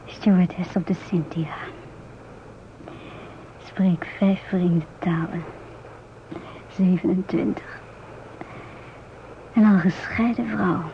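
An actress playing a musing, independent stewardess in her twenties in a radio drama, speaking in a hushed, often near-whispered voice. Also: fairly low pitch, takes it slowly, long pauses. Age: 20s. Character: musing, independent 27-year-old divorced ship stewardess. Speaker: narrator and stewardess. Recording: muffled old radio recording, clear hiss.

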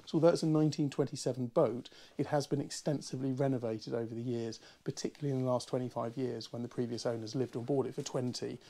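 A man, a bit hoarse from a cold, chatting through a walkthrough tour of a barge. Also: medium pitch, steady tone, fast talker, few pauses, dark tone. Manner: chatty and upbeat, many ums. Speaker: boat broker. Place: inside a barge, small cabin rooms.

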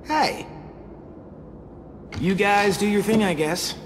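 A man's voice: sits high, takes it slowly, big-room sound, slight background noise. An actor playing an easygoing, joking teenage boy in a video game, playful, theatrical and teasing. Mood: skeptical.

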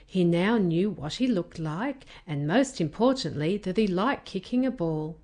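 A woman narrates a children's storybook. Her voice sits slightly low and moves with animated intonation. She reads carefully at an unhurried pace. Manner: careful, expressive reading. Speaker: storybook reader.

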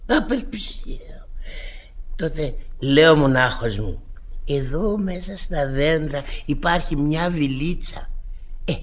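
An actor playing a wheedling, boozy vagabond painter in a Greek radio play, chatty and theatrical. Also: male voice, a little high, close to an everyday voice. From Greece. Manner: chatty, theatrical, self-pitying and cajoling.

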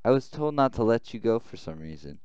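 A man reading a visual novel aloud as a blunt, defensive young woman in a militia, a little halting. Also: medium pitch, very animated, a little mellow, smooth, no echo, highs cut off, lightly acted. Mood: indignant.

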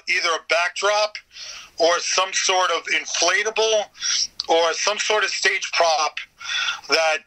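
A man chatting casually as a podcast guest, animated and talkative, with some swearing. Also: sits high, rough recording. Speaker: guest, voice actor.

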